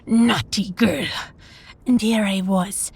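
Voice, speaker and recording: A very breathy, husky female vampire played by a woman in an intimate audio drama. She speaks slowly, with imperious, possessive menace and a teasing, sadistic edge, often sinking toward a whisper. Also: medium pitch, a little mellow, exaggerated voice. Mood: taunting, amused. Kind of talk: scripted intimate audio roleplay.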